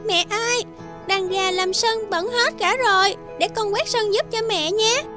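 A woman's voice: very high voice, measured pace, pushed and bright, faint music behind, full character voice. A woman voicing a young village girl in a cartoon fairy tale, childlike and insistent. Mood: eager. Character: sweet little village girl who grows lazy and whiny. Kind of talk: animated fairy tale voice acting.

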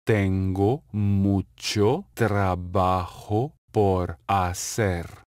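A man reading short phrases for a language lesson, saying each one slowly and clearly and then repeating it. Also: deep voice, steady tone, a little mellow, no echo. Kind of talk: slow, carefully enunciated drill reading. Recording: dry, close studio voice-over.

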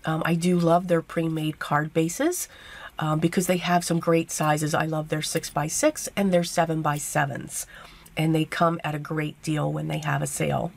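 Woman, low-pitched and hoarse, chatty craft-tutorial voice-over, fillers and asides. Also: level tone, normal speed. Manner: chatty step-by-step explaining with fillers. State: losing her voice, hoarse. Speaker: card-making host.

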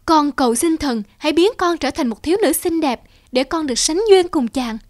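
High-pitched lovelorn fox played by a woman. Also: moderate pace, a little mellow, dry recording, studio quality, lightly acted. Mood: pleading. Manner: earnest, plaintive pleading. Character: lovelorn young female fox, pleading, devoted. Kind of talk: cartoon fairy-tale voice acting. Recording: music behind the voice.

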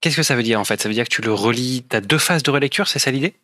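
A young male host asks careful, polite questions and sums up answers to be sure he has understood. His voice is bright and forceful, at a middle pitch for a man, heard through a headset mic from a room at home. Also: fast, no room sound. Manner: polite, apologetic, clarifying. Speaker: interviewer, host. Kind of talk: interview questions, rephrasing to check understanding.

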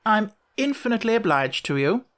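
Woman reader playing a languid, haughty young gentleman. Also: medium pitch, very animated, unhurried, voice pushed up. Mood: condescending. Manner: languid, haughty, old-fashioned upper-class slang. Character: grown-up baby brother, languid condescending young gentleman. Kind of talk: children's storybook character reading.

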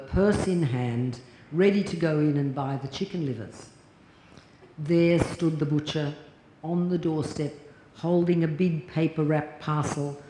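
Woman in her sixties, measured lecturer reading from notes, roomy hall, noisy capture. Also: lowish voice. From Australia. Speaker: keynote lecturer. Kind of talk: formal read lecture. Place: large lecture hall at a podium. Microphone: lapel mic and podium mic. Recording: echoey hall, audible noise.

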